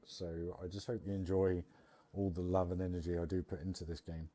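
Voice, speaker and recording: A man's voice: sits low, flat delivery, fairly quick, dark tone. A British man hosting a casual game live stream, chatting in a chummy way and calling viewers mate and bud. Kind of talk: casual live stream chat over a theme park building game.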